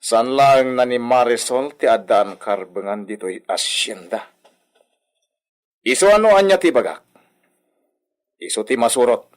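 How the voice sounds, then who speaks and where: A man's voice: mid-range voice, animated, measured pace, pauses often, natural voice. Man acting a haughty young master, wildly swooping voice. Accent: Filipino. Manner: pompous, commanding, peppered with Spanish words. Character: haughty, bossy young master posing as Spanish gentry. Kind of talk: radio drama acting.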